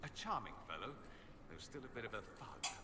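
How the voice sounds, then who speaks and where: A man's voice: fairly low pitch, sing-song, a little fast. A man playing a sardonic, dryly amused companion in fantasy video game banter. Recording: distant, reverberant, noisy game audio.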